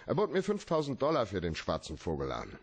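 An actor in a German radio play as a cool, cynical, shrewd private detective with a laconic, deadpan way of talking. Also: male voice, lowish voice, fairly quick, breathy edge, exaggerated voice.

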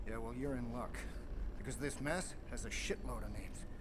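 An actor playing an animated, slangy, eccentric hacker sidekick in a video game. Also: male voice, medium pitch, normal speed, noisy background, natural voice. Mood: wry. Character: excitable, foul-mouthed, eccentric hacker sidekick man.

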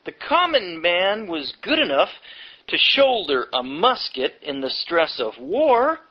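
A man reading aloud like a preaching commentator, emphatic and deliberate, drawing out words between pauses. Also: sits high, sing-song, dull audio. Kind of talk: reading a history book aloud with preachy asides.

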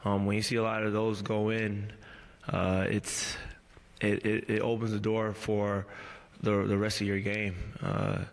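A man in his thirties answering reporters at a postgame press conference, measured and halting, with many fillers and restarts, speaking into a table mic in a press room. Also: lowish voice, little pitch movement, creaky, long reverb tail. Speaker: pro basketball player at postgame press conference. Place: press room before a team backdrop. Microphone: table mic on a stand.

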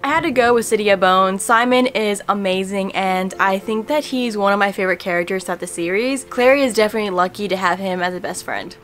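A young woman in a casual book vlog, talking in a chatty, relaxed way with many fillers, recorded at home by a camera at desk distance. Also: mid-range voice, fast, hardly any pauses, soft background music. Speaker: book vlogger. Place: home room in front of bookshelves.

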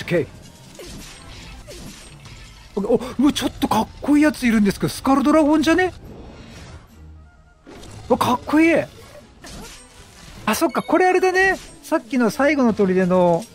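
A man giving excitable, chatty video game commentary, exclaiming often and playfully mimicking the characters. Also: high-pitched. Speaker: gameplay streamer.

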